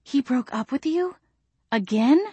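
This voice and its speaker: A wry young woman playing protective best friend, as a woman narrator acts her out. She is blunt, teasing and brisk, pitched on the high side in a dry storybook recording. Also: expressive, no echo, lo-fi sound. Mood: brisk, reassuring.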